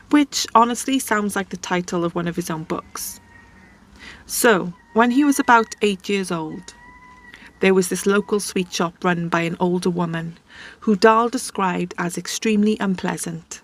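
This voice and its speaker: A woman tells a story as if chatting with a friend. She talks casually and drops in conversational asides. Her voice sits at an ordinary middle pitch. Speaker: host.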